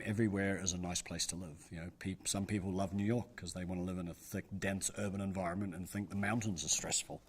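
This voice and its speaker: A middle-aged man gives interview answers in a deep, low-pitched voice. He talks quickly and thinks aloud, hedging his points as he goes. He sounds like a business advisor weighing his words. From New Zealand. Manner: thoughtful, hedging with frequent "I think" and "you know".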